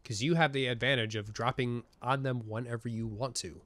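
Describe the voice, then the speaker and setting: A man casting a tournament match of a video game, with excited play-by-play and casual asides, sniffling from a cold. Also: medium pitch, a little fast. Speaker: esports caster. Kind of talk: game commentary.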